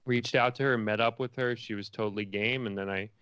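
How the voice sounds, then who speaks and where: A man's voice: medium pitch, steady tone, brisk, fairly bright tone, smooth, no room sound. A man speaking casually as an interview guest, a filmmaker who often repeats phrases as he builds his thoughts.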